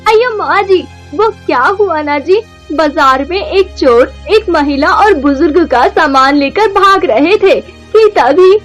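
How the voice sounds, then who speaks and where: A woman's voice: very high-pitched, expressive, slow pace, soft background music, exaggerated voice. A woman voicing a meek, sweet young daughter-in-law, deferential and polite in a cartoon moral story. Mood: eager, deferential. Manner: deferential, ending phrases with polite particles.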